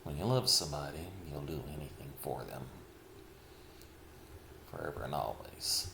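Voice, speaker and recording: A middle-aged man speaking a slow, heavy personal confession, pausing often and sighing. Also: rumbling low, animated. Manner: slow, heavy, halting with sighs. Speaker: vlogger. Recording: echoey room with clear background noise.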